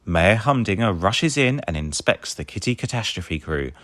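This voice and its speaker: Animated man reading a children's story. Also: on the low side, moderate pace, no echo, clean studio sound. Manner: expressive, acts out dialogue for children. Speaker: storybook reader. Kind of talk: scripted children's story narration read aloud.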